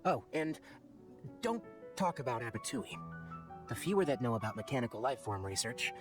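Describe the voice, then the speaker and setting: A man voicing a young forest ranger scholar in a video game, exacting and testy, with a crisp lecturing manner. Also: mid-range voice, sing-song, normal speed, music in the background, lightly acted. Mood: serious, composed. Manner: crisp, lecturing, matter-of-fact.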